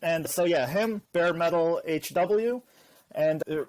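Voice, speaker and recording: A man's voice: fairly high pitch, slow pace. A man guesting on a podcast, talking casually and animatedly, often acting out what other people say. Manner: animated, acts out quoted voices. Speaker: guest YouTuber.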